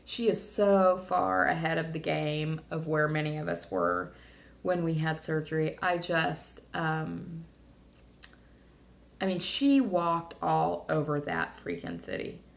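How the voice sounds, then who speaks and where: Slightly hoarse woman vlogger, low gravelly voice, rambling and heartfelt with lots of fillers. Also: sing-song, slow pace. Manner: rambling, emotional, many fillers and restarts. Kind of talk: casual personal video diary.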